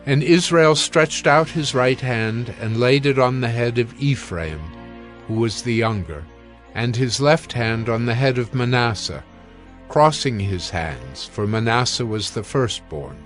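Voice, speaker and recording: A man narrating scripture from a script in a measured, formal manner. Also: mid-range voice, expressive, soft background music.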